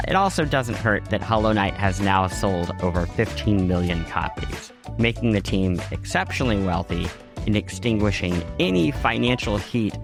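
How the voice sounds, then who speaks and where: A man's voice: fairly low pitch, creaky. A man hosting a podcast, reading scripted news and show notes in a wry, conversational way. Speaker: podcast host. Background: music behind the voice.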